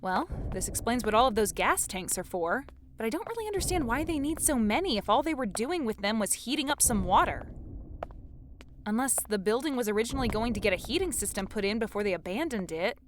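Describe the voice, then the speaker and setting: A woman's voice: on the high side, animated, fairly quick, slight background noise, lightly acted. A woman playing an anxious, sceptical young woman thinking aloud to herself in an audio drama. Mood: puzzled. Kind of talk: acted inner monologue in an audio fiction.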